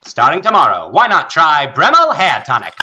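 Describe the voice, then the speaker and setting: A man's voice: fairly high pitch, wildly swooping pitch, moderate pace, bright, forceful tone, highs cut off, a little hiss or hum, full character voice. A man playing a peppy old-time radio announcer, selling with exaggerated 1940s salesman punch. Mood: upbeat selling. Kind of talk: 1940s radio advertisement acting.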